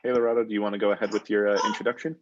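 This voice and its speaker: A man who is a coding instructor, leading an online class and calling on students, friendly and halting with lots of ums and restarts. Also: lowish voice, flat delivery, dry recording. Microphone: video call. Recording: muffled video call audio.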